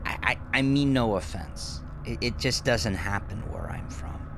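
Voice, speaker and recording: Casting: cocky street hustler, performed by a male narrator, stammering and profane, pitched up from a gravelly base, very animated. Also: measured pace, noisy background. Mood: flustered, defensive. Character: cocky, fast-talking male street hustler, nervous but earnest.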